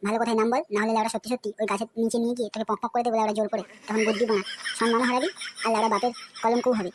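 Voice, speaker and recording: Woman acting a fast, high-voiced, swaggering young cartoon lout. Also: little pitch movement, a little hiss or hum, natural voice. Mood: angry bullying. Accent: West Bengal. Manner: crude, swaggering, badgering. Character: brash, foul-mouthed young male suitor, pushy and menacing. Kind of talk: comedy cartoon dialogue. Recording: music behind the voice.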